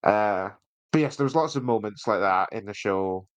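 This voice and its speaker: A man's voice: fairly low pitch, expressive, moderate pace, dry recording. A man hosting a podcast, chatting casually and enthusiastically through a review with hesitant phrasing. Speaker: podcast host reviewing audio drama.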